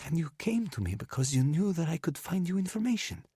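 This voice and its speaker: A man voicing a drunk, bitter woman, hurling accusations at her lover in rushed, accusing speech. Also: a little high, sing-song, mellow, slightly rough, no echo, clean studio sound, pitched above the performer's own voice, heavily acted. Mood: furious. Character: drunk, furious Spanish woman, betrayed lover.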